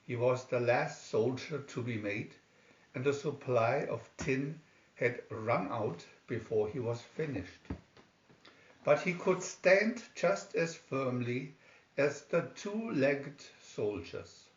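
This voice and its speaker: A man reading a fairy tale aloud as scripted narration, with a deliberate, halting delivery. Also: medium pitch, expressive, a little hiss or hum. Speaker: storybook reader. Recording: echoey room, dull lo-fi sound.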